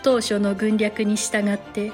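An actress playing a dignified samurai mother, narrating a historical audio drama in courteous, formal, measured language. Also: mid-range voice, completely flat delivery, darkish tone, soft background music, lightly acted. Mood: composed, solemn.

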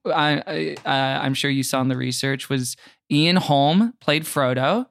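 A man's voice: mid-range voice, measured pace, creaky, a bit bright, dry recording. A man chatting casually as a comedic podcast guest, rambling and joking as he interrupts himself.

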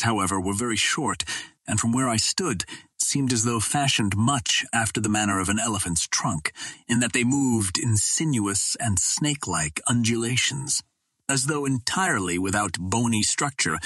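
A man narrating an adventure novel as an audiobook, reading in a measured, formal storytelling manner. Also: medium pitch, animated, fairly bright tone, breathy edge, no room sound, clean studio sound.

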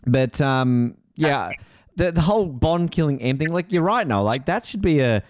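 A man co-hosts a casual podcast in a very animated voice. His delivery rambles in a jokey way, and the sound is dry, with little room echo. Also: a little high, moderate pace. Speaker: podcast co-host. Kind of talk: casual chat.

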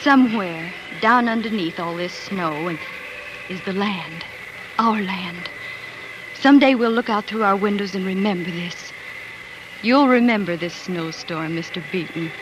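An actress playing a devoted, excitable young pioneer bride, telling her story in earnest, lyrical 1940s radio drama style. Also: medium pitch, a little slow, noisy background, natural voice. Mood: tender. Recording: old 1940s radio broadcast, music underneath.

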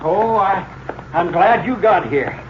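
An American actor playing a folksy, plain-spoken small-town Texas sheriff in an old-time radio western crime drama. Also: male voice, sits high, slow pace, soft background music. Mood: eager, certain. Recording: muffled, very noisy old radio recording.

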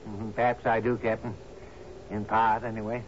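An actor playing a patient, deferential older manservant to a sea captain in a 1970s radio drama, measured and gently hesitant. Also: male voice, on the low side, level tone, lightly acted. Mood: guarded, respectful. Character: deferential, patient longtime male servant to a captain. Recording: dull, noisy 1970s radio broadcast.

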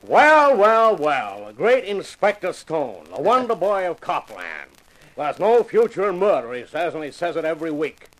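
An actor playing a gruff, mocking homicide inspector in 1950s radio drama style. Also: male voice, on the high side, sing-song, normal speed, a bit bright, low-quality recording. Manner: mocking, heavily sarcastic, needling. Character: gruff sarcastic homicide police inspector, taunting.

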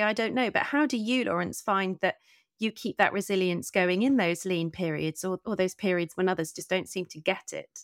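A woman with a slightly low voice hosts a conversational interview. She speaks reflectively, layering phrases into long questions, on a dry recording with very little room sound. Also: no echo. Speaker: podcast host, interviewer.

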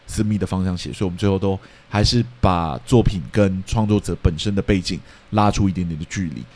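A man's voice: deep voice, fairly even intonation, fast talker, darkish tone, a little hiss or hum. A man with a Taiwanese accent, co-hosting a podcast in casual discussion. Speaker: podcast co-host.

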